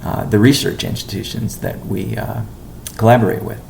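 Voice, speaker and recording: A man giving a company update on a conference call as its chief executive, speaking in a measured, halting way with deliberate pauses. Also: on the low side, fairly even intonation, gravelly, a little mellow, slight background noise. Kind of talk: business conference call presentation.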